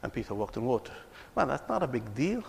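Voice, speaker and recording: A man's voice: mid-range voice, big pitch swings, fast, vocal fry, slight background noise. A man from Palestine, telling stories earnestly to an audience and often repeating his words. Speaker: ministry speaker on reconciliation. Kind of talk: speech to an audience. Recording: long hall reverb.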